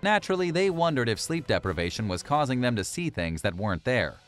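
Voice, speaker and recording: A man's voice: medium pitch, big pitch swings, fairly quick, faint music behind. A man reading scripted documentary-style narration for a list video.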